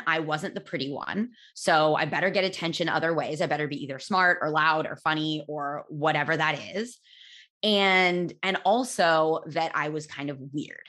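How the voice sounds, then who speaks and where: A woman in her thirties, a podcast host, telling her own story in a candid, chatty way, loud-voiced, with fillers and restarts. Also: low voice. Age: 30s. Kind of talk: personal storytelling monologue.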